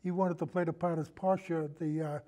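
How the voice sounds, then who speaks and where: A man in a casual film discussion, telling anecdotes in a halting, rambling way and often repeating his phrases. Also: fairly high pitch, fairly even intonation, dark tone. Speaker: podcast guest, film discussion.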